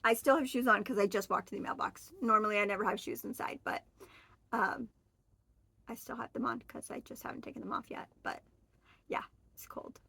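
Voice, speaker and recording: A woman's voice: fairly high pitch, lively intonation, brisk, creaky, pushed and bright, slightly rough. A woman in her forties from Oregon, chatting on her own to camera in a rambling, friendly way. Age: 40s. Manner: chatty, rambling, friendly, with fillers and self-corrections. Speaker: vlogger. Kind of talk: casual solo chat to camera.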